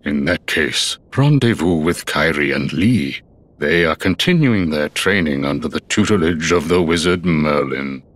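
An older man voicing a solemn, wise elderly wizard master in a video game, speaking formally and in a measured, stately way. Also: rumbling low, animated, fairly bright tone, dry recording, heavily acted. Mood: stately, instructive. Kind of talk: animated video game voice acting.

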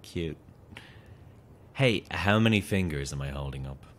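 An actor playing a smooth-talking, insistent male fan in an audio drama, flattering with hidden menace. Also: a bass voice, a little slow. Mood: playful, teasing. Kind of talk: naturalistic audio drama acting.

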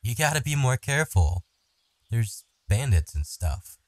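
A man's voice: medium pitch, sing-song, unhurried. A man playing a hapless, earnest young wanderer and failed bandit in a comic dub, hesitant and slangy. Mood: muttering, conflicted. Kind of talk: manga comic dub acting. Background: music under the dialogue.

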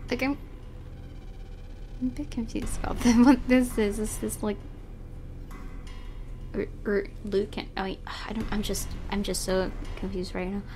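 A woman's voice: medium pitch, steady tone, unhurried, pauses often, noisy background. A young woman playing a game, reading its text aloud and reacting casually with giggles and exclamations. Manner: giggly, exclamatory, self-correcting. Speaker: let's player.

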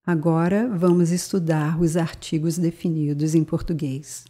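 A woman's voice: deep voice, steady tone, a little slow, dark tone. A woman narrating a scripted bilingual language lesson, speaking carefully and spelling out words. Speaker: language teacher.

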